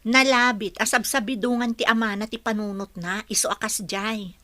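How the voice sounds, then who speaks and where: A woman's voice: fairly high pitch, steady tone, normal speed, sharp tone, dry recording. A woman playing a sympathetic village confidante in a Filipino radio drama, offering advice.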